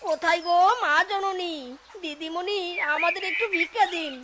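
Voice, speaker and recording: A woman's voice: extremely high pitch, steady tone, normal speed, very noisy. A woman voicing a cheeky, scheming little fox trickster in a cartoon, whiny and exclamatory. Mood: pushy begging. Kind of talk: cartoon voice acting. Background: music.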